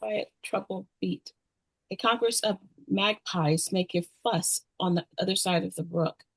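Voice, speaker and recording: A woman's voice: medium pitch, a little slow, vocal fry, husky, no echo, low-quality recording. A woman narrating the stage directions of a screenplay in a scripted read-through.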